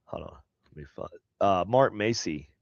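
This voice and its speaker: A man's voice: low-pitched, expressive, vocal fry, no room sound, dull audio. Nasal man with a head cold. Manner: rambling, halting, many fillers. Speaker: podcast co-host. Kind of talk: casual chat. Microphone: home desk setup on a video call.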